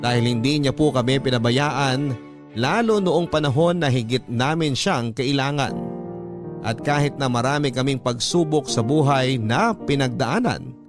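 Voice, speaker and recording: A man's voice: medium pitch, a little slow, over music, lightly acted. A man acting as a grateful, devout storyteller, narrating a scripted radio drama earnestly and reflectively with a Filipino accent. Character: grateful, devout storyteller recalling family hardship.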